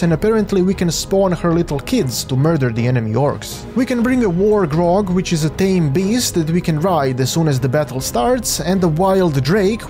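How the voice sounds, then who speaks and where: A man with a fairly high voice narrates a gaming video in a scripted, explanatory way. Soft music sits behind his words. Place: voice-over recording. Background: faint music.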